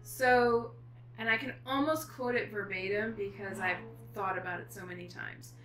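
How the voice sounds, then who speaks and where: A middle-aged woman guest author chatting casually, telling stories in an animated way with thoughtful pauses. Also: medium pitch. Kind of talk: casual chat. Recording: echoey room with faint noise.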